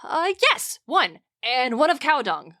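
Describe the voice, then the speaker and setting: A woman voicing an excitable, jittery male servant in an amateur reading of an ancient Greek comedy, with theatrical, archaic phrasing. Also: sits high, measured pace, pitched above the performer's own voice, heavily acted. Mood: alarmed.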